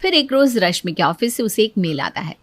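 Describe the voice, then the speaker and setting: A woman's voice: medium pitch, very animated, fairly quick, dry recording. Woman narrating a story, dry recording.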